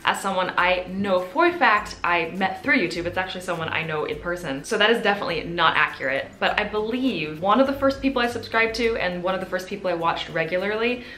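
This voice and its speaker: A woman in her twenties with a soft, quiet voice, chatting casually to camera as a video host. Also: on the low side, expressive, fairly quick, hardly any pauses, slight background noise. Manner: soft-spoken, chatty with fillers. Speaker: YouTuber. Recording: roomy echo.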